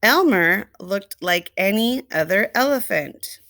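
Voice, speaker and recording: A woman storyteller reading a picture book expressively to young children, deliberately, with asides and questions to the listeners. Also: mid-range voice, lively intonation, a bit bright, no room sound. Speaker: read-aloud storyteller.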